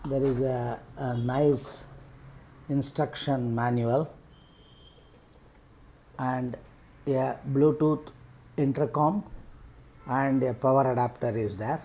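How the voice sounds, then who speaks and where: A middle-aged man with an Indian accent and a mid-range voice presents a product unboxing. He speaks off the cuff as a vlogger, setting out his points piece by piece in halting phrases. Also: medium pitch, steady tone, darkish tone, a little hiss or hum, poor audio. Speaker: vlogger reviewing rider gear. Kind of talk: unscripted unboxing commentary.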